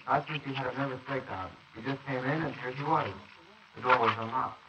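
A man's voice: mid-range voice, fairly even intonation, fairly quick, muffled recording, close to an everyday voice. A man playing a talkative neighbor who witnesses the scene and rambles hesitantly, in 1940s radio acting. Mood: rambling. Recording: distant roomy hissy 1940s wire recording.

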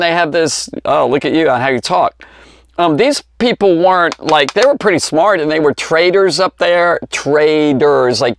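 A man's voice: on the high side, slight background noise. A man in his fifties with an American accent, giving an informal, rambling Bible lesson for kids, often restarting and correcting himself. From Cincinnati, United States. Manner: rambling, conversational, with false starts and self-corrections. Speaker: Bible teacher. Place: small indoor study lined with bookshelves. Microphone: lapel mic clipped at the collar.

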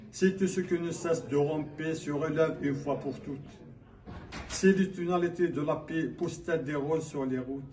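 A man from Kabylia in Algeria declaiming his own poetry in a solemn, measured manner. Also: fairly high pitch, warm tone. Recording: distant mic, faint background noise.

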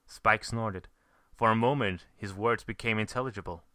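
A man's voice: fairly low pitch, moderate pace, sharp tone, no room sound. A man reading a scripted story aloud as narrator. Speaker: fan fiction reader, narrator.

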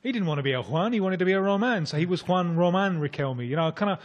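A man's voice: sits high, expressive, fast talker, lo-fi sound. A man speaking as a football expert on a radio show, explaining points in a wry way. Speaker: football expert on South America. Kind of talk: radio discussion.

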